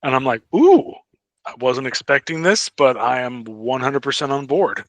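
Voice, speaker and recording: A man's voice: medium pitch, lively intonation, moderate pace, no echo. A man co-hosting a podcast in casual fan chat, keen and full of his own opinions. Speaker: podcast co-host.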